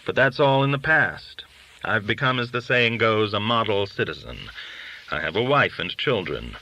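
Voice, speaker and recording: Blunt proud ex-burglar, forceful man reading. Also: lowish voice, animated, normal speed, rough recording. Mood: dry, assured. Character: blunt, proud male reformed lock-picker standing his ground. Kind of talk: audiobook character dialogue.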